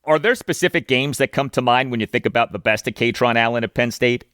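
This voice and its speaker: Male podcast host, American accent, mid pitch, dry studio-tight sound, sports interview delivery. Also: fast, fairly bright tone, clear, resonant tone, dry recording. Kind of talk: sports interview and sponsor read.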